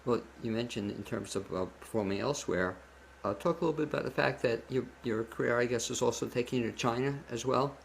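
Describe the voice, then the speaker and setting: Male interviewer, casual and conversational. Medium pitch with plenty of vocal fry. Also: fast. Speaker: show host, interviewer. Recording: clear steady background noise.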